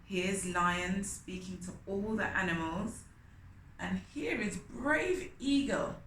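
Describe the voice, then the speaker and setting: A woman hosts a children's storytime, reading aloud at a very leisurely pace. She is expressive and often turns to her young listeners with questions. The room echoes, with faint noise behind her. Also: mid-range voice, slow. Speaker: storytime host reading a picture book aloud. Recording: roomy echo, faint background noise.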